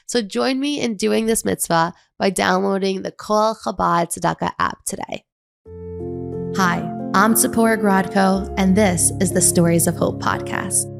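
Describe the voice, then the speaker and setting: Woman, American accent, podcast host, conversational, middle pitch, dry studio recording. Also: creaky, fairly bright tone, dry recording.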